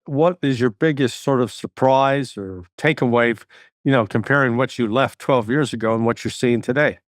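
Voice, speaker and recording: An older man hosts a talk show and rambles through his introductions, stumbling and restarting often. His voice sits at a middle pitch. It is recorded dry and close. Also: moderate pace, no room sound. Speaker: show host. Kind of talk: casual interview hosting.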